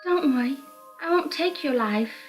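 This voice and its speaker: Woman voicing a seductive, gloating supernatural mistress, raised pitch. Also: lively intonation, normal speed, music in the background. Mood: commanding, seductive. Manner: gloating, commanding, condescending. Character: seductive, proud, malicious supernatural mistress claiming a servant.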